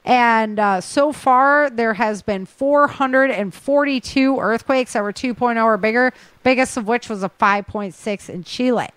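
A woman with a slightly high voice hosts a podcast in an informal way. She runs through news as casual chat, addressing her listeners directly, and the sound is fairly dry and close. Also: measured pace, hardly any pauses, fairly bright tone, no echo. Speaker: podcast host.

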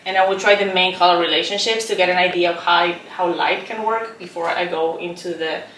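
A woman's voice: fairly low pitch, steady tone, brisk, recorded from a distance, a little hiss or hum. A woman in her thirties from Chile, a visual artist speaking thoughtfully in a documentary interview with lots of "like" and "um". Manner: thoughtful, soft-spoken, many "like" and "um" fillers. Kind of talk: documentary interview, reflective talk. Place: roomy painting studio, indoors. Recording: echoey studio room, off-mic.

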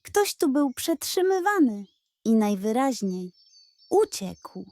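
A woman's voice: fairly high pitch, very animated, slow, a little mellow, no room sound, studio quality. A woman voicing an earnest, firm but kindly male piglet detective in a children's audio story. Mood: intent.

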